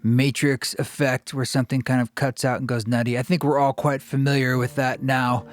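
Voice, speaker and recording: A man hosting a podcast, speaking thoughtfully with pauses and fillers as he reflects and introduces a guest. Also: medium pitch, flat delivery. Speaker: podcast host. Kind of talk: reflective podcast monologue and interview.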